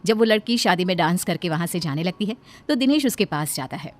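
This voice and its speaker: A woman's voice: fairly low pitch, animated, a little fast. An Indian woman narrating a scripted bedtime story as a storyteller. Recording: background music under the voice.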